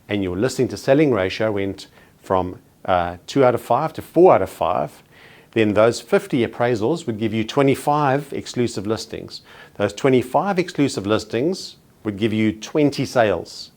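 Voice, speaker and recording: A man's voice: fairly low pitch, a little mellow. Emphatic South African man coaching agents. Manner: emphatic, punchy paired contrasts, slows on key words. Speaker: real estate sales trainer. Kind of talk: motivational training talk.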